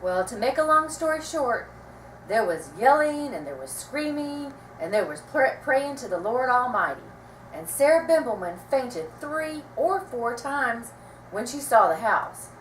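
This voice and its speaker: A woman reading a children's story aloud with expressive, dramatic storytelling delivery. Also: a little high, a little slow. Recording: roomy, distant mic, faint noise.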